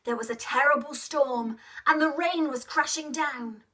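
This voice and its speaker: Female children's storyteller, high voice, unhurried, expressive and interactive, slightly distant mic. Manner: expressive, asks young listeners questions, encourages them to act along.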